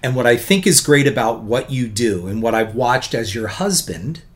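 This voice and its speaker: A man co-hosting a podcast in casual chat, speaking earnestly and slowly, and gently poking fun at himself. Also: medium pitch, animated. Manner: earnest, self-deprecating, slow and deliberate. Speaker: podcast co-host.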